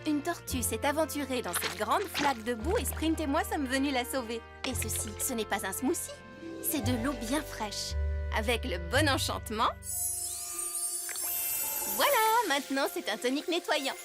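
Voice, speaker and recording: Casting: boastful, warm young deer-girl, cartoon, very high and animated, music underneath. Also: normal speed. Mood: defensive, assured. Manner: emphatic, theatrical, self-assured. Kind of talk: French cartoon dubbing. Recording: music behind the voice.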